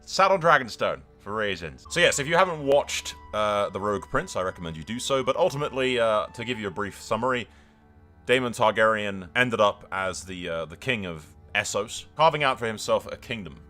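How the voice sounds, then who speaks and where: A man hosting a game roleplay series, telling the story as he plays in an excitable, dramatic way. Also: lowish voice, fairly quick, faint music behind. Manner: excitable, dramatic, mild swearing. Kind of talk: lively game playthrough commentary and storytelling.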